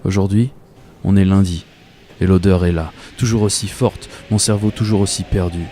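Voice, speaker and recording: A man's voice: sits low, steady tone, moderate pace, dark tone, faint music behind, natural voice. A man playing a dreamy, obsessive commuter who narrates a radio fiction in a colloquial, wry, confiding way. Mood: wry, musing. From Paris, France. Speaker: narrator and protagonist. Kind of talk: first-person radio fiction monologue.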